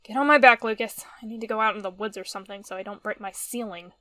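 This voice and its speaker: A woman voicing a doting, sardonic shapeshifting creature mother in an animated cartoon. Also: medium pitch, fast, no room sound, lightly acted. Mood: exasperated.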